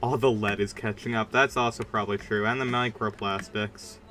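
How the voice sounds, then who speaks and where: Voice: medium pitch, fairly even intonation, normal speed, a bit bright, a little hiss or hum. A streamer chatting casually during a game livestream in a rambling, informal and emphatic way. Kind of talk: casual livestream chat while gaming.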